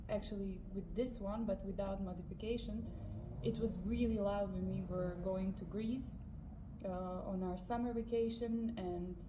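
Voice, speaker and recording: Serbian accent woman chatting casually, medium pitch, roomy echo and noticeable noise behind her. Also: steady tone, normal speed, distant mic. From northern Serbia. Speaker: co-presenter, pillion passenger. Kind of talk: casual chat. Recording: roomy echo, clearly noisy background.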